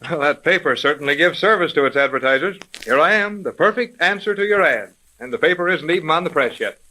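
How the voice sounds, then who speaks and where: Brash young man with a bright, punchy voice. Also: a little high, animated, fast talker, low-quality recording. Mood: cocky, eager. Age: young adult. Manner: brash, wisecracking. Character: cocky, eager young man hungry for dangerous work. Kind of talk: 1930s radio acting.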